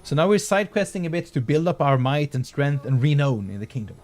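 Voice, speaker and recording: Chatty game streamer. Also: male voice, fairly high pitch, animated, a little fast, a little mellow. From southern Sweden. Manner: chatty, restarts and fillers, reads chat aloud. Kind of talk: casual gameplay commentary, chatting with viewers.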